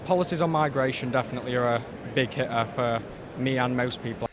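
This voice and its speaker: A man giving a flat, matter-of-fact street interview. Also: mid-range voice, fast. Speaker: party conference attendee. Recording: outdoor street noise.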